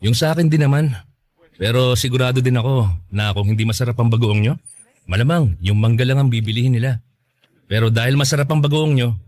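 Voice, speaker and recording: Man acting easygoing Filipino suitor, medium pitch. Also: a little mellow, natural voice. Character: easygoing, kind young businessman, gently joking suitor. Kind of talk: Filipino radio drama acting.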